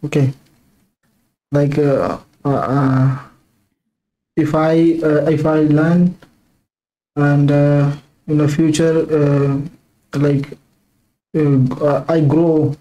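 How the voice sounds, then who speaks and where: A young man chatting with strangers in halting English, hesitant and full of fillers, on a headset in a small dark room. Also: a little high, fairly even intonation, lo-fi sound. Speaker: streamer talking with strangers. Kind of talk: casual video chat in halting English.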